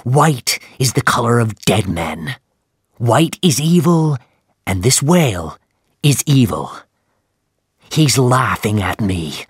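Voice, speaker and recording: Brief: narrator doing an obsessed one-legged sea captain, loud and drawn-out, slow delivery, dry close sound. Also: male voice, slightly rough, no room sound, full character voice. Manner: loud booming declarations, drawn-out words.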